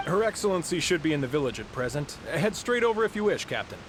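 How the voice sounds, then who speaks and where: Brisk, formal soldier. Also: male voice, on the high side, very animated, background noise. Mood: dutiful. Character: formal, dutiful male soldier giving directions. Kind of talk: video game voice acting. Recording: game music behind.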